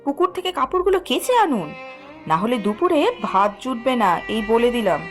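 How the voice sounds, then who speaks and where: Cartoon villainess daughter-in-law, woman actor: high, very animated, spiteful and commanding, clear music behind. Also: brisk. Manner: harsh, sneering, bossy. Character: cruel, sarcastic daughter-in-law bullying her old mother-in-law.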